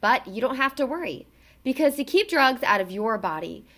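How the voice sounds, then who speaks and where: A woman with a fairly high voice reads a children's book aloud. Her intonation swings widely and she stresses words expressively, pitching the narration to young listeners. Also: moderate pace. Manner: expressive, emphatic delivery for children.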